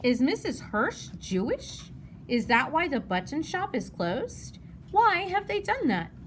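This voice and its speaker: Earnest, inquisitive girl of ten, played by a woman, high voice, storybook reading, noisy background. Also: big pitch swings, unhurried. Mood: worried, questioning. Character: earnest, curious ten-year-old Danish girl. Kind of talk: children's novel read-aloud. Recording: noticeable background noise.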